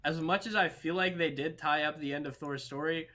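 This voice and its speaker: Bright, higher-pitched man chatting emphatically. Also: fairly even intonation, a little fast. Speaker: podcast co-host. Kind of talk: casual movie discussion chat.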